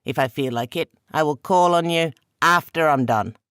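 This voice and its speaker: Male narrator doing a loud, taunting male trickster spirit, halting overpronounced accent, dry close read. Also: a little high, clear, resonant tone, no room sound, pitched above the performer's own voice, lightly acted. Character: mischievous male trickster spirit, sneering, boastful.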